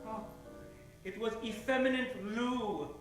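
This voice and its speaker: Male actor as smug slippery shopkeeper, high voice, mannered, radio drama, faint music. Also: slow pace. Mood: sly. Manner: affected, formal, mannered phrasing. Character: slippery, prissy male pawnbroker, evasive and smugly polite. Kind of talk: old-time radio drama acting. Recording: roomy sound, faint music behind.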